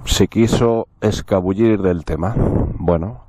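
A man's voice: sits low, takes it slowly, background noise. A man talking casually to the camera outdoors, wearing a motorcycle helmet, telling his story with wry asides to viewers. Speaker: motovlogger. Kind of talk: casual vlog talk to camera.

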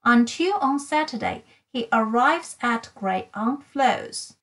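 A woman reading a children's picture book aloud, with careful, deliberate and expressive phrasing. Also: a little high. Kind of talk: slow bilingual children's story read-aloud.

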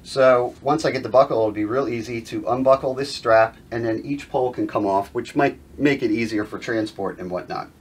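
A man in his thirties talks through a homemade gear build in an informal, conversational way. His voice sits at a middling pitch, and he explains each part casually, as a host would to viewers. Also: a little fast, few pauses, slightly rough. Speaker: host of a DIY outdoor gear channel. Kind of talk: casual hands-on explainer to camera. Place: furnished indoor gear room at home.

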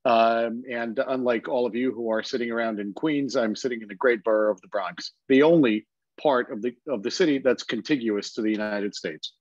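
A middle-aged man from Russia speaks as a college director with a dry, joking manner. The sound is dead and close, with a slightly muffled webcam tone. His pitch sits mid-range. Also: moderate pace, no echo. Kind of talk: panel introduction.

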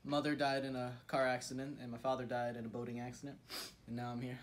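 Deadpan young man on a noisy phone clip. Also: medium pitch, quick pace. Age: young adult. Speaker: college friend. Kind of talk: casual joking chat. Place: dorm room, indoors, small.

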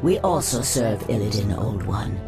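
A man's voice: on the low side, very animated, normal speed, music in the background. A man voicing a proud, regal naga commander in a fantasy game, with a formal, stately manner. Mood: haughty. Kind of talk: dramatic fantasy game cutscene acting.

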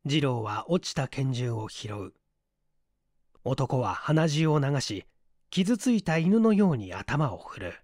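A man's voice: mid-range voice, very animated, takes it slowly, darkish tone, no echo, clean studio sound. A man narrating a literary mystery story as a dramatised audiobook reading. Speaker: audiobook reader, small-theatre stage actor.

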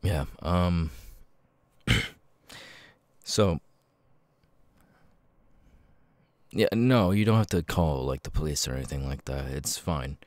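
A man acts out a nervous, socially awkward young man for an ASMR audio roleplay. His voice is extremely deep and slow, breaking into stammers and tics. The sound is dry, with no room around it. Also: darkish tone, dry recording. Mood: uneasy, reassuring. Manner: halting, stammering, sudden blurted tics.

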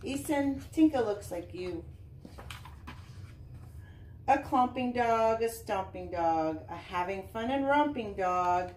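A woman reads a children's picture book at an unhurried, slow pace. Her delivery is expressive and singsong, with chatty asides to young listeners. The sound is roomy and somewhat distant, as from a camera in a living room. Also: medium pitch, a little hiss or hum. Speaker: volunteer reading aloud to children. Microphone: camera a short distance away.

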